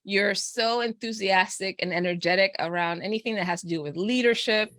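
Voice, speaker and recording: A woman's voice: medium pitch, fairly quick, a bit bright, no room sound, clean studio sound. A woman hosting a podcast, chatting casually and encouragingly with her guests, laughing often. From Texas, USA. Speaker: podcast host and coach. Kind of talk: casual interview chat.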